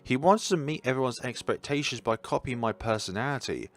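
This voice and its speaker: A man voicing a gentle, proud mother who reminisces wistfully about her son in a visual novel. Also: medium pitch, fairly quick, lightly acted. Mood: nostalgic.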